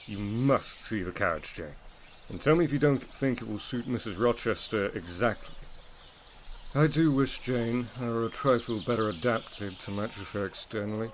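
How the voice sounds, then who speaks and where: Guy acting as brooding teasing gentleman in a gothic audio drama, kinda low voice, formal old-time talk, some background noise. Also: normal speed, natural voice. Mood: teasing, wistful. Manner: formal, teasing, theatrical phrasing. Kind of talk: audio drama acting, period literary dialogue.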